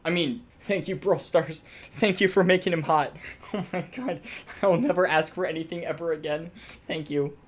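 A young man doing comedic reaction commentary on game voice lines, excitable and crude, exaggerating and swearing freely. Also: on the high side, moderate pace. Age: young adult. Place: small room indoors, wall covered in drawings. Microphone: desk microphone close by.

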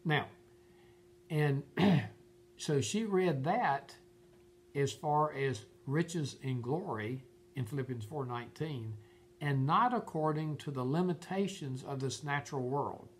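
A man's voice: on the high side, slow pace, a little hiss or hum. An older man giving informal Bible teaching in an earnest, emphatic way, often repeating his phrases. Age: older, about 70s. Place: furnished room at home.

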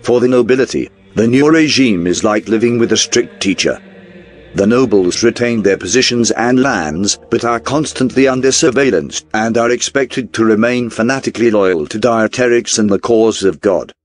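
A man's voice: on the low side, moderate pace. Man narrating a newsreel documentary over music. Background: background music.

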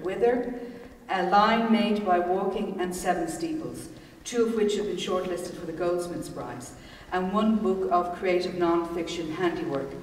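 A woman's voice: medium pitch, a little mellow, a little hiss or hum. A woman in her sixties, hosting at a gallery, reads a formal introduction from notes and runs through long lists of names and titles. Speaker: gallery host. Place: gallery room, standing. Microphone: far camera mic. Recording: echoey gallery room from far off.

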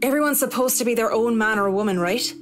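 Actress playing courteous young decorator, slightly high voice, measured, businesslike, reserved, visual novel dialogue, faint music. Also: female voice, lightly acted. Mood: frank. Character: polite, reserved professional single young woman decorator.

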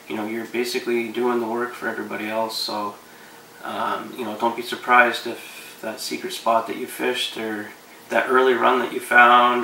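A man in his thirties giving relaxed, rambling fishing advice to camera from his living room couch, with lots of fillers. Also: medium pitch, vocal fry, echoey room, recorded from a distance, slight background noise, rough recording. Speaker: fishing vlogger.

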